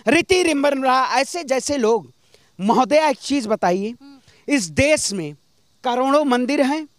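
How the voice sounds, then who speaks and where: A man's voice: very high-pitched, fairly bright tone, no echo, clean studio sound. A man from northern India giving political opinions in an impassioned, rhetorical street-speech style, emphatic and fond of repetition.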